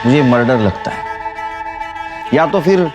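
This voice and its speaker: A man's voice: mid-range voice, expressive, a little slow, music in the background, close to an everyday voice. A man playing a skeptical police inspector. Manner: probing, authoritative. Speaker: senior police officer.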